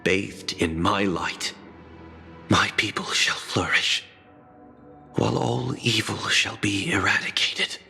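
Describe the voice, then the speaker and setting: A man's voice: on the low side, slow pace, vocal fry, long pauses, bright, forceful tone, faint music behind, exaggerated voice. An actor voicing a proud, zealous would-be god-king in a video game, declaiming in a grandiose way. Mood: solemn, menacing. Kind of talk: dramatic video game voice acting.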